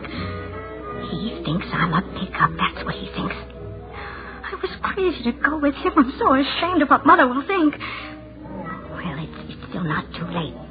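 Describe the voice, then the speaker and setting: A woman's voice: medium pitch, lightly acted. Lonely, fretful woman's inner monologue played by an actress, torn and self-questioning, vintage radio drama, thin sound under prominent music. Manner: agitated self-questioning, arguing with herself. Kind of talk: 1940s radio drama acting. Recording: tinny band-limited vintage radio recording, loud music.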